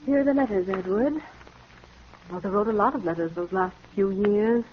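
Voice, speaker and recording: Casting: female actor as genteel, trusting, worried sister, earnest 1950s radio melodrama, mid-pitched, dull old recording with slight noise. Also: moderate pace, lightly acted. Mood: gently concerned. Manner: earnest, theatrical. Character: anxious, gentle, trusting sister in a genteel family. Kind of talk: 1950s radio drama acting. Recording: muffled, noisy vintage radio recording.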